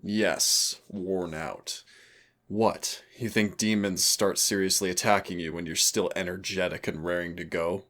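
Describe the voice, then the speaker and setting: A man's voice: low-pitched, fairly even intonation, measured pace, a bit bright. A man playing a smug, predatory demon trainer who taunts and commands in a scripted roleplay audio. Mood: teasing, menacing. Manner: taunting, commanding, mock-courteous. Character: teasing, predatory male demon hunter-trainer, smug and dangerous.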